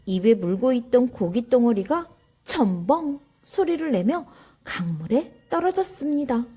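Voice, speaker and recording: A woman's voice: sits high, dark tone, husky. A woman teacher reading stories to children and explaining them in an instructive way, addressing her young listeners directly. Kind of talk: children's story reading with commentary.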